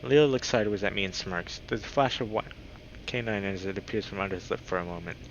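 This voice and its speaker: A man reading a visual novel aloud as a streamer, with expressive reactions. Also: fairly low pitch, quick pace. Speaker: video game streamer. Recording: dull lo-fi mic with clear background noise.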